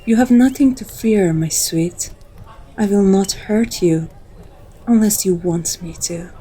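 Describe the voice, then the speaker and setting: A dominant female vampire, played by a woman, speaks in a relaxed, dark-toned voice at a leisurely pace. She is flirtatious and teasing, drawing the listener in with intimate endearments as in an ASMR roleplay. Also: mid-range voice, takes it slowly, husky, poor audio. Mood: playful, seductive.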